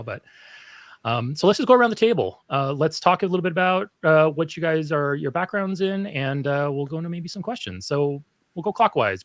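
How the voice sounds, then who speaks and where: A man with a mid-pitched voice hosts a livestream panel, recorded close and dry. He sounds enthusiastic but hesitant, filling his sentences with ums and uhs. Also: fairly bright tone, no room sound. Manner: filler-heavy, enthusiastic, halting. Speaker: panel moderator.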